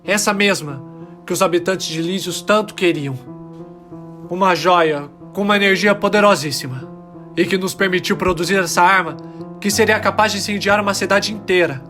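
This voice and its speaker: An actor playing an embittered alien rebel miner, testifying with impassioned, dramatic pauses in an audio drama. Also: male voice, high-pitched, bright, forceful tone, soft background music. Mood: grave, urgent. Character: embittered male alien rebel miner testifying about his oppressed people.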